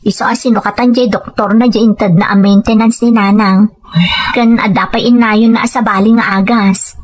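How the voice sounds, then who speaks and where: An actress with an Ilocano accent playing a gentle, devoted, earnest family woman in a radio drama. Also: mid-range voice, fairly even intonation, normal speed, natural voice. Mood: caring, reassuring.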